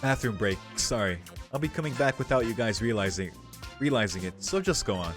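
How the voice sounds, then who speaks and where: A man's voice: medium pitch, expressive, a little fast. A man doing a casual game playthrough, reading text aloud and commenting, with game music underneath. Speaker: game streamer.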